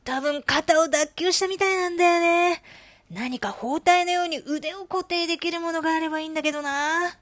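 A woman's voice: very high-pitched, fairly quick, a bit bright, dry recording. A woman voicing an easygoing injured man in a cartoon storybook, casual and rueful. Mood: rueful, pained. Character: injured, easygoing man who fell by the roadside.

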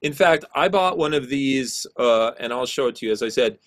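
A middle-aged man with a low voice teaching an online class over a video call, explaining casually with many fillers. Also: expressive, moderate pace, fairly bright tone.

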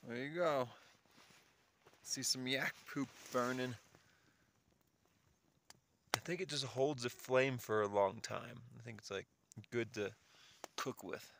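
A man with a mid-pitched voice talks casually to his handheld camera as a solo hiker. He is chatty and given to exclamations of wonder. The outdoor recording is clearly noisy. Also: slow pace, long pauses, a bit bright. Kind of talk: casual vlog narration while filming. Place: outdoors in windy, open mountain terrain. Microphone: handheld phone or camera while walking.

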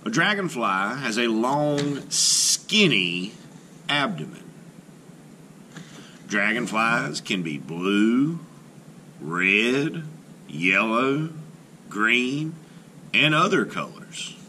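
A man reading a children's picture book aloud as a teacher, slow and careful, with rising emphasis, recorded indoors at a table by an overhead camera. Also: medium pitch, very animated, bright, forceful tone, a little hiss or hum.

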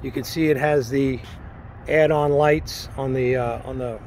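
A man's voice: mid-range voice, fairly even intonation, a little slow, darkish tone. A man presenting a vehicle for sale in a walkaround video, matter-of-fact, speaking in short, list-like phrases. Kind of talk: walkaround sales video commentary. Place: outdoors in an open parking lot. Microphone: handheld camera or phone, speaker off camera. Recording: outdoor noise, handheld camera audio.

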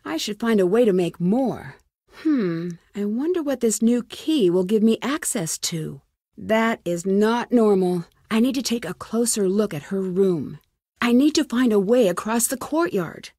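A woman's voice: mid-range voice, darkish tone, no echo. A plucky curious girl detective in a pc game, talking herself thru clues, earnest, dry studio sound. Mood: musing, wry. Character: inquisitive, plucky young female amateur sleuth. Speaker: lead character in a detective adventure game. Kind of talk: scripted game dialogue, thinking aloud.

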